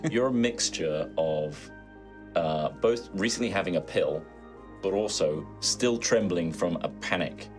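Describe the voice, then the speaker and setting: A man's voice: deep voice, animated, slow pace, soft background music. A man acting as game master, narrating a tabletop roleplaying game in conversational fashion.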